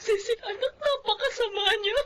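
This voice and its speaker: Shrill, tearful grieving mother. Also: normal speed, fairly bright tone, a little hiss or hum. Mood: tearful outrage. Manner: tearful, wailing, pleading. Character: grieving, outraged mother of a murdered daughter. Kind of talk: Tagalog radio drama acting.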